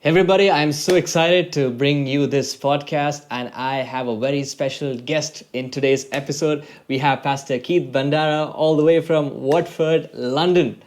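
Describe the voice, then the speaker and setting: A man hosting a podcast interview at home, upbeat, with quick reactions and interjections. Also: a little high, fairly even intonation, darkish tone, faint music behind. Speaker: podcast host. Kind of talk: casual interview. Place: a home room.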